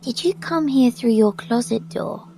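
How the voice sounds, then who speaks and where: Determined lady rescuer, very creaky, highish, measured, faint noise behind her. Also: very animated, dark tone, exaggerated voice. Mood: calm, probing. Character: resolute, composed female rescuer questioning a child gently. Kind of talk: audio drama acting.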